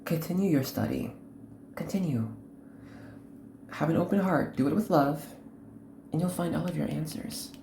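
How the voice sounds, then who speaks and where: A woman hosting an unscripted tarot reading, speaking to the viewer in an earnest, instructive way, in short halting phrases. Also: sits low, creaky, echoey room, background noise. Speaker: host, tarot card reader.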